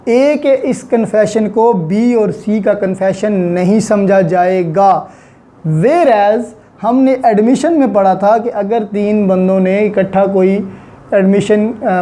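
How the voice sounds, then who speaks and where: A man's voice: high voice, mellow. A man with a Pakistani accent giving an explanatory law lecture, didactic, restating his points and mixing in English terms.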